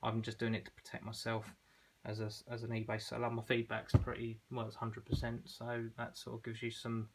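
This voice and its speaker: A man's voice: medium pitch, completely flat delivery, a little fast, hardly any pauses, breathy edge. A man giving an informal product demonstration as an online seller, explaining in a conversational, slightly hesitant way.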